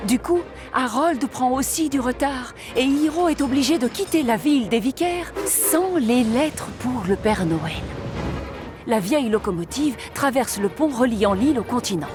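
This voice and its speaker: A woman's voice: sits high, expressive, moderate pace. A woman narrator reading scripted storytelling narration for a children's cartoon in French. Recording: music behind the voice.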